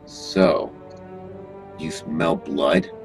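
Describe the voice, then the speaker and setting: A man acting an evasive, sinister restaurant owner with halting delivery in an amateur horror audio drama. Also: rumbling low, fairly even intonation, over music, full character voice. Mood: evasive, guarded. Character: evasive, sinister male animatronic restaurant owner hiding murders.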